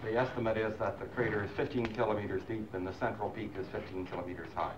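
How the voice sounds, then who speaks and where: A man's voice: on the low side, steady tone, fast. A man informally discussing findings at work, on a dull and noisy recording. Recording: distant, dull, noisy pickup.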